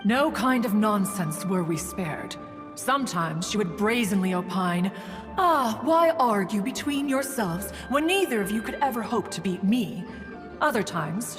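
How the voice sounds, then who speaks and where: A woman's voice: medium pitch, lightly acted. A woman playing a proud, wistful ancient female immortal in a game, speaking in formal, archaic, pompous phrases. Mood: haughty, fond. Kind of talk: scripted game dialogue, storytelling. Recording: long hall reverb, music behind. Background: music.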